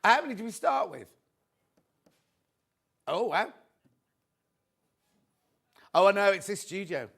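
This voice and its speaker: A man hosting a live shopping show, chatty and friendly, reading out viewer messages with a slightly croaky voice. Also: high-pitched, big pitch swings, slow, pauses often. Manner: chatty, reads viewer messages aloud warmly. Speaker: shopping channel host.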